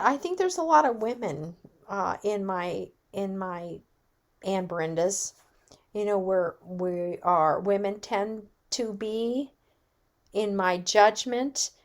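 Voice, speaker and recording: A woman giving an informal personal talk as a guest speaker, candid in her manner. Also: medium pitch. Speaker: guest speaker, wellness business owner.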